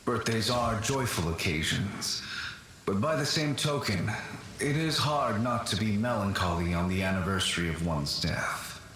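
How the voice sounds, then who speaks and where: A man playing the courteous, melancholy ghost of an ancient warrior in a video game, speaking formally and with gentle regret. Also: on the low side, lively intonation. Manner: formal, measured, gently rueful. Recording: heavy echo with faint noise.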